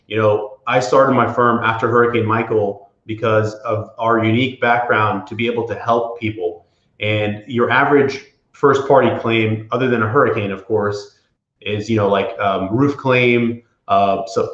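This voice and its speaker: A man's voice: fairly low pitch, fairly bright tone, roomy sound, highs cut off. A man from the Florida Panhandle, an attorney speaking as an interview guest, talking casually with many fillers and restarts. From Florida Panhandle, USA. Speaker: property insurance attorney, guest.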